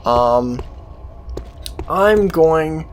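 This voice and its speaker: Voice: medium pitch, expressive, drawn-out words, a little hiss or hum. A game commentator talking casually and off the cuff, in a sweary, sardonic, offhand manner. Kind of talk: casual, improvised gameplay commentary.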